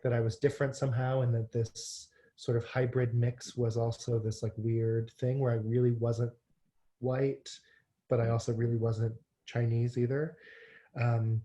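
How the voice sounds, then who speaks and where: A man in his thirties, from Hong Kong, talks as a podcast guest. His voice is mellow and dark, and his delivery stays flat and even. He tells his story casually, with ums and restarts. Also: medium pitch, lo-fi sound. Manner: relaxed, frequent fillers and restarts. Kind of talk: conversational storytelling.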